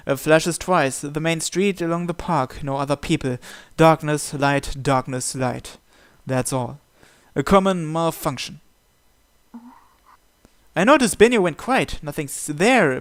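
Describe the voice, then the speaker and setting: A man's voice: fairly high pitch, smooth. A man reading visual novel text aloud as a narrator, with game music behind him.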